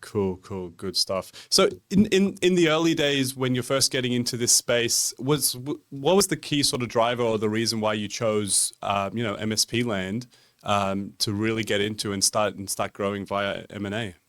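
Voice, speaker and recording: Podcast host: man, fairly low voice, dry close recording, conversational interviewing. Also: normal speed, fairly bright tone, no room sound.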